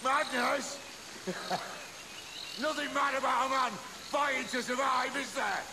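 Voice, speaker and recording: An actor playing a furious, half-mad marooned pirate captain in a video game, full of crude threats and taunts. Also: male voice, extremely high pitch, takes it slowly, noisy background, exaggerated voice. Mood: unhinged. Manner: growling threats and sardonic taunts. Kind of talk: dramatic video game acting. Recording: music behind the voice.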